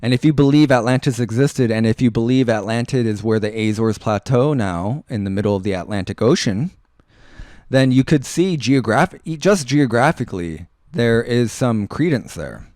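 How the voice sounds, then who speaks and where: A man hosting a casual live radio talk show, rambling through listener comments in a halting way and often repeating words and phrases. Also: medium pitch, lively intonation, darkish tone, dry recording, clean studio sound.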